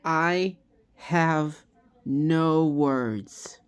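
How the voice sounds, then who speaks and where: Slow, low-voiced woman reacting. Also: clear, resonant tone. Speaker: reaction video host. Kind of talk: casual reaction commentary.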